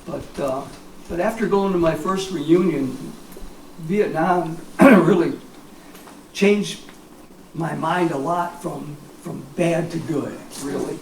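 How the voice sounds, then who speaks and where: An American man in his seventies, a war veteran reminiscing informally in a halting, rambling way with frequent pauses. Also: on the high side. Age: 70s. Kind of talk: informal reminiscence at a public talk. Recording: echoey, distant mic, clear background noise.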